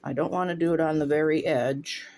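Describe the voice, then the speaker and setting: Man, gravelly, slightly high, relaxed studio how-to talk. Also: brisk, noisy background. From Northwest Ohio, USA. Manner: relaxed, talks while working, thinks aloud. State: runny nose, voice briefly faltering. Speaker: stained glass instructor. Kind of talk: casual workshop demonstration. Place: home glass studio with windows open.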